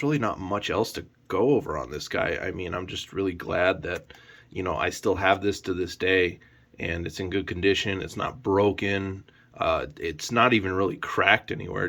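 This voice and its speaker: Low-voiced man giving a casual rambling review. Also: fairly bright tone. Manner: rambling, hedging with frequent "you know". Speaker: YouTube collector reviewer. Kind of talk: casual unscripted review vlog.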